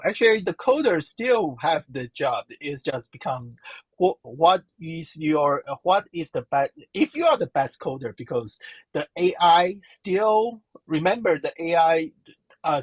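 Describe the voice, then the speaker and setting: A slow-spoken woman gives interview answers in a husky voice. She halts often and fills the gaps while she searches for her words. The sound is dry and close. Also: deep voice, big pitch swings, a little mellow, no room sound. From Taiwan. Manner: halting, searching for words, many fillers. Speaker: professor and guest.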